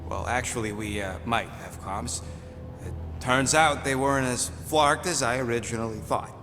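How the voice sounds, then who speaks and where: An actor playing a snarky, hot-tempered small raccoon mercenary in a video game, with gruff, sarcastic, slangy delivery. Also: male voice, medium pitch, normal speed, long reverb tail, music in the background, lightly acted. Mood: grudging.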